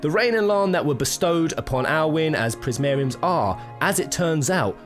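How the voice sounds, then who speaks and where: A man's voice: on the high side, animated, normal speed. A man hosting a countdown video with casual, unscripted commentary, talking in an emphatic, jokey, sweary, slangy way. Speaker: video host presenting a game countdown. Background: game soundtrack music.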